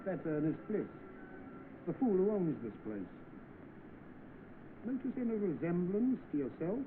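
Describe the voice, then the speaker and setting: An actor playing a smug, scheming swindler, suave and bossy in 1930s film style. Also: male voice, sits high, very animated, unhurried. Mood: sly, scheming. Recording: noisy, tinny old film soundtrack.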